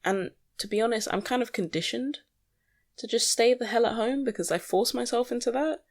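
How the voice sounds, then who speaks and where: A young adult podcast host with a creaky voice, rambling casually alone in a blunt, sweary, self-questioning way. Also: mid-range voice, a little fast. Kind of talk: casual solo rambling monologue.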